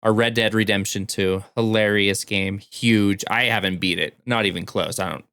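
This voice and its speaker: A man's voice: on the low side, steady tone, normal speed, bright, forceful tone, clean tone, no echo. A man co-hosting a podcast, talking informally about games in a conversational way, close to the microphone. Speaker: podcast co-host. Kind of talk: casual gaming podcast chat.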